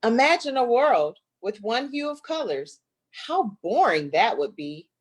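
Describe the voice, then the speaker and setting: A woman from Flint in the United States, an author reading her children's book aloud with deliberate, playful expression. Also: on the high side, sing-song, husky.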